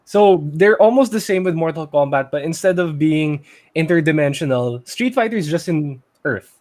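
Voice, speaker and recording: A man with a Filipino accent co-hosting a casual podcast, talking loosely and thinking aloud with pauses. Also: on the high side, lively intonation, a little mellow. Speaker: podcast co-host. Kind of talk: casual pop culture podcast chat.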